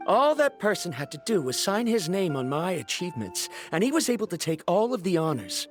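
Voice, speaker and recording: Pompous high-pitched scholar. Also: male voice. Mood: bitter, defiant. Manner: pompous, formal, argumentative. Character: proud, stubborn, embittered adult male scholar. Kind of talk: video game character dialogue.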